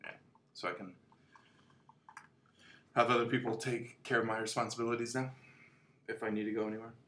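A man co-hosting a podcast, chatting casually in a laid-back, rambling way, laughing often, with a gentle voice. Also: on the low side, flat delivery, fairly quick, slight background noise. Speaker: podcast co-host. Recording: echoey room.

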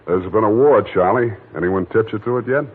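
A man's voice: on the low side, a little fast, slight background noise, natural voice. A man playing a wisecracking hard-boiled detective. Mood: sardonic. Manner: dry, sardonic. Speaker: narrator and lead. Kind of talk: 1940s radio acting. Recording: tinny old radio broadcast.

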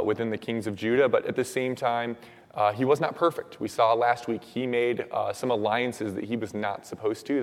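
A young man with a slightly low voice, hoarse and raspy after days of shouting, leads a sermon and prayer. He talks in an earnest, casual way, full of "uh" and "um". His words ring out with the long echo of a church hall. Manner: casual, filler-heavy, earnest. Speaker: preacher.

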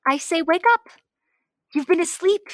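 A woman's voice: very high voice, normal speed, fairly bright tone, dry recording, clean studio sound. A young woman playing a spirited, curious space soldier in old-time radio serial style, with a perky, inquisitive manner. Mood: lively, amazed. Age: young adult. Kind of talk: 1930s radio serial acting.

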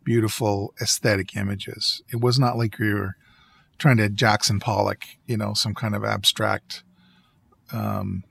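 A man chatting casually on a podcast in an easygoing way, pausing mid-sentence to rephrase. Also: fairly low pitch, little pitch movement, vocal fry, fairly bright tone, husky. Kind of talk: casual conversational podcast chat.

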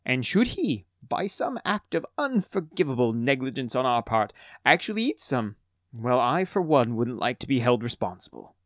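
A man's voice: medium pitch, very animated, normal speed, no room sound, studio quality, lightly acted. A man voicing a proud, fussy halfling chief cook who boasts in a folksy way and breaks into wailing outbursts. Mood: proud, knowing.

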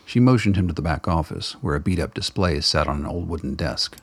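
A male narrator telling a radio drama steadily in close third person. His voice is deep and husky. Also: brisk. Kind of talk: scripted narration.

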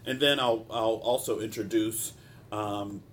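A man with a stopped-up nose hosting a solo podcast in an informal, halting and discursive way, often drifting into asides. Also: on the low side, steady tone, slight background noise. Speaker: podcast host, mental health practitioner.

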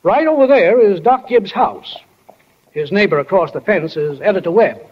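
High-voiced folksy small-town narrator. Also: male voice, lively intonation, moderate pace, a little hiss or hum. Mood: folksy, wry. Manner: plainspoken, drawling folksy wording. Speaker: stage manager narrator. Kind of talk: 1940s radio acting, folksy narration. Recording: muffled old 1940 radio broadcast.

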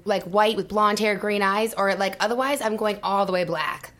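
A woman's voice: mid-range voice, normal speed, a bit bright. A woman guest in a casual radio chat, talking candidly about dating.

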